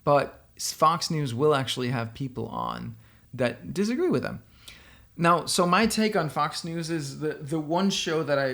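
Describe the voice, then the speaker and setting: A man from Denmark with a Danish accent, a software company founder giving opinionated, casual commentary straight to the viewer. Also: mid-range voice, vocal fry. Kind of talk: casual commentary responding to a blog post.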